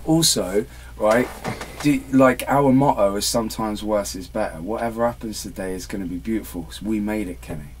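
A man's voice: on the low side, normal speed. A man in his thirties from England, a guest musician bantering playfully and swearing as he rambles through stories. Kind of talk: casual studio banter. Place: small music studio indoors. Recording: noisy room recording.

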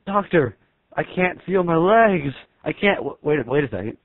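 A man playing a naive, excitable patient who asks puzzled questions melodramatically in a comedy skit, tinny recording. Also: fairly high pitch, normal speed, no room sound. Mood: panicked. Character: naive, excitable male patient, easily amazed and confused. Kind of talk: amateur comedy skit acting. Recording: tinny, band-limited, dry sound.

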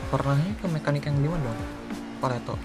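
A man's voice: fairly high pitch, quick pace. A man chatting casually while roleplaying in a video game. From Indonesia. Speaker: gaming streamer, roleplay player. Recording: loud music and car sounds behind. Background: music, game vehicle sounds.

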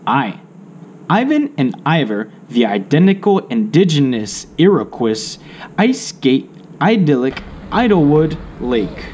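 A man narrating a children's alphabet picture book aloud, in deliberate, separated phrases with drawn-out words. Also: a little high, big pitch swings, a little mellow. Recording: faint background noise.

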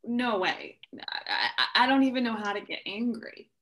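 A timid, unsure daughter in a TV comedy script. Her voice is fairly high and her delivery hesitant, heard over a video call. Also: big pitch swings, moderate pace, a bit bright. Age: young adult. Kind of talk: live table read of a TV comedy script.

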